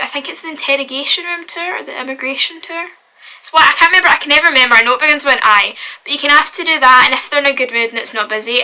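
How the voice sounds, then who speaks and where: A young Scottish woman with a strong Glasgow accent chats brightly and eagerly to camera from her bedroom. Her voice sits high with a very sharp, bright edge, and she talks in a loose, upbeat way that leans on "like" and "wee.". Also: low-quality recording. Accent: Glaswegian. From Scotland. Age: young adult. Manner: chatty and upbeat, full of "like" and "wee". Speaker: vlogger. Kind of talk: casual vlog chat.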